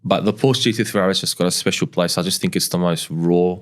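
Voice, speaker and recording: A man's voice: low voice, steady tone, fast. A young man telling his story as a podcast guest in casual chat, repeating words and laughing often. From grew up partly in Lebanon.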